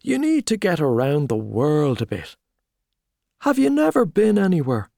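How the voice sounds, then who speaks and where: A man voicing a boastful, hearty Irish journalist, talking loudly and expansively over drinks. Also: a little high, huge pitch swings, moderate pace, gravelly, no echo, clean studio sound, voice pushed up, full character voice. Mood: boisterous. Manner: loud, expansive, back-slapping.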